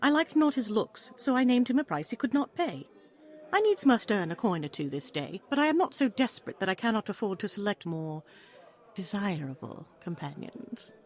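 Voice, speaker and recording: A woman's voice: mid-range voice, big pitch swings, normal speed, lightly acted. Woman acting a coy, bold tavern wench. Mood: coy, haughty. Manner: coquettish, archaic speech. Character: coy, quick-witted, bold young tavern wench in pirate days. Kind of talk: audio play acting.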